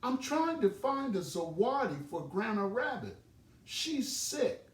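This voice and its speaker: Man voicing an eager little boy rabbit, pitched high. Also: very animated, takes it slowly, full character voice. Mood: earnest. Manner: childlike, earnest. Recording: roomy, noisy, distant microphone.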